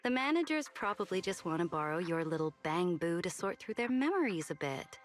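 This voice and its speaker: A woman voicing a composed, smooth-talking woman in a video game. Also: fairly low pitch, very animated, a little fast. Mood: calm. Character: composed, poised woman, cool and knowing. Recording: game audio under music.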